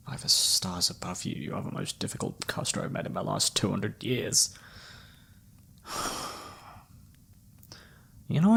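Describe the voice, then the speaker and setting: A man playing a flirty, theatrical travelling palm reader in a fantasy ASMR roleplay, teasing and dramatic with a breathy, husky voice. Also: fairly low pitch, full character voice. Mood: exasperated.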